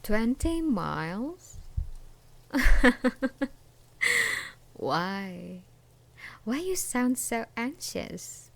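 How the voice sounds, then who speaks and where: A woman's voice: mid-range voice, vocal fry, exaggerated voice. A woman playing an obsessive, menacing jilted ex-girlfriend in an intimate ASMR roleplay, drawing out her taunts. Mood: taunting, sinister. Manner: slow, menacing, drawn-out taunting with dark chuckles and whispers.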